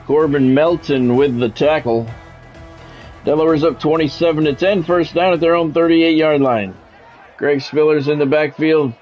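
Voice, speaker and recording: Higher-voiced man calling a football video game. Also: steady tone, muffled recording, soft background music. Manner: measured broadcast-style calls, clipped phrases, occasional swearing. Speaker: video game streamer doing play-by-play. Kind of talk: sports play-by-play commentary with casual gamer asides.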